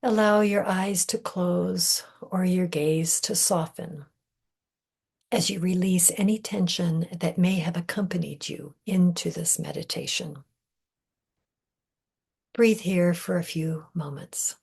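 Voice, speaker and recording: A woman leading a guided meditation in a gentle, measured way, breathy and husky, often trailing off into a whisper with deliberate pauses. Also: on the low side, fairly even intonation. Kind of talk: guided meditation, soothing scripted narration.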